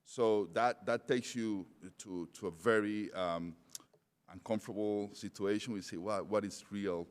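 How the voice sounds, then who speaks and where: Middle-aged guy w/ an accent presenting at a conference, low smooth voice, lots of ums, big hall echo. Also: steady tone, measured pace. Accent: non-native English. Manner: hesitant, many fillers and restarts. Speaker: conference speaker. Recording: long hall reverb.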